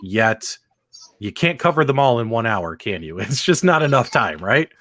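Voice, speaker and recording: A man's voice: mid-range voice, sing-song, moderate pace, a bit bright, a little hiss or hum. A man hosting a casual livestream show, chatting and explaining things to co-hosts and viewers.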